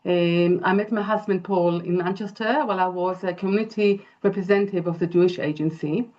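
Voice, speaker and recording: Israeli woman with a low, dull-sounding voice. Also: steady tone, darkish tone. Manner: earnest, halting, many fillers. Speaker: interior designer presenting. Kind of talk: webinar presentation. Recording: dull lo-fi video call audio.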